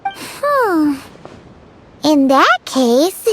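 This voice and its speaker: A woman voicing a small, excitable, childlike fairy companion in a video game, with an eager, exclamatory manner. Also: sits high, very slow, a little hiss or hum, full character voice. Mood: puzzled, curious.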